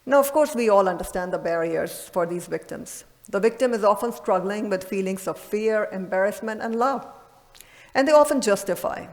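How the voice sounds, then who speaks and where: Woman, medium pitch, conference lecture, earnest emphatic delivery, long hall reverb. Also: moderate pace. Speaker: radiologist presenting at a medical conference.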